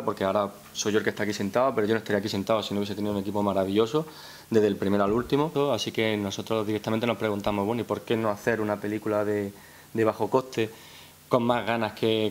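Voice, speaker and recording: A man's voice: on the low side, little pitch movement, fast talker. A man, presenting informally as a film director at a press conference, repeating words as he thinks. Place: press room at a town hall, seated at a table. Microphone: gooseneck table microphone.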